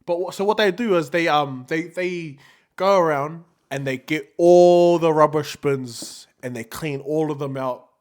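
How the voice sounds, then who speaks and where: Slangy New Zealand man, higher-pitched voice. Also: measured pace, a little mellow. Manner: slangy, laid-back, often repeating himself. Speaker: podcast co-host. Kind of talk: casual podcast chat.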